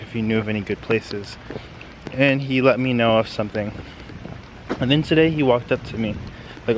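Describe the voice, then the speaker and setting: A man's voice: lowish voice, poor audio. A young American man from the United States vlogging as a study-abroad student, talking casually and rambling with lots of fillers while walking outdoors on city streets. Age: young adult. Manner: rambling, filler-heavy, chatty. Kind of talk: casual vlog narration. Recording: street noise behind.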